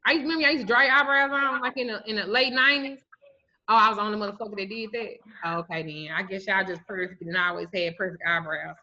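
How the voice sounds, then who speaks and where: A woman in her forties, a spiritual reader, telling stories on a livestream in a blunt, profane, animated way. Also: medium pitch, fast. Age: 40s. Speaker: spiritual reader and root worker. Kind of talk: casual livestream talk. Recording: muffled lo-fi stream audio.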